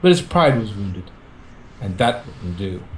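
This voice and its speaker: A man's voice: lowish voice, very animated, unhurried, roomy sound, a little hiss or hum. A man reading a story aloud as an amateur and chatting casually, over an internet voice call. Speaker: participant in a group fan fiction reading. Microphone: internet group voice call.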